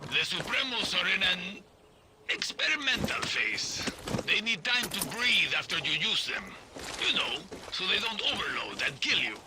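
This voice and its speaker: A man's voice: high voice, sing-song, moderate pace, background noise. A man voicing an eccentric, cocky older guerrilla weapons tinkerer in a video game, with a Latin American accent and playful, theatrical swearing. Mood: teasing. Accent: Latin American Spanish.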